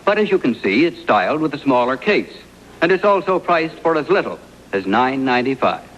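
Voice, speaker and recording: A man delivering a 1960s television commercial as a pitchman. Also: sing-song, a little fast, fairly bright tone, a little hiss or hum. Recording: dull, lo-fi vintage broadcast.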